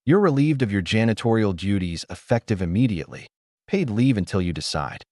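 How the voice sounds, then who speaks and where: A man's voice: fairly low pitch, big pitch swings, brisk, darkish tone, no echo, studio quality. A man reading the lines of a calculating corporate executive who sounds falsely jovial and politely threatening.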